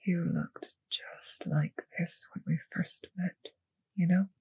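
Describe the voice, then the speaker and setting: A woman voicing a seductive, possessive vampire mistress in an intimate ASMR roleplay, coaxing and commanding in a breathy voice that often slips into whispers. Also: lowish voice, steady tone, a little mellow, dry recording, exaggerated voice. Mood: tender, hypnotic. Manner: slow coaxing, teasing, commanding. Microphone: close microphone, dry.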